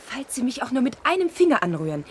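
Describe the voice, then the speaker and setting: An actress in a radio play voicing a fearless, sharp-tongued young woman with a pert, mocking manner. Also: sits high, very animated, fast. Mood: mocking, defiant. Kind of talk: German radio play acting. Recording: crowd noise behind.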